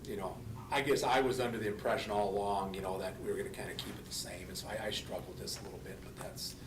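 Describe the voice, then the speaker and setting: A fairly low-voiced man on a school board thinks aloud with hesitant restarts. The room echoes around him and he sits far from the mic. A rumble sits under everything. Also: fairly even intonation, fast talker. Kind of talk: public meeting discussion.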